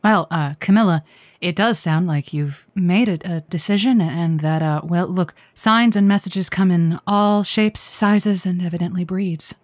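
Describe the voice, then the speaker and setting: A woman playing an earnest, easily flustered veteran host of a comedy radio advice show, speaking haltingly. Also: on the low side, no echo, close to an everyday voice. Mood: defensive, resigned. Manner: halting, stammering when put on the spot.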